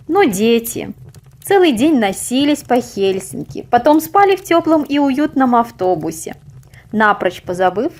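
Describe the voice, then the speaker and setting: A woman reading a scripted story aloud as an expressive narrator. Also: fairly high pitch.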